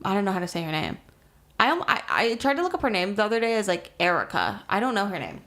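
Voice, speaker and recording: American girl cohosting a podcast, medium pitch, sweary and teasing, just casual joking around. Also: very animated, fast, fairly bright tone. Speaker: podcast co-host. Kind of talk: casual comedic banter.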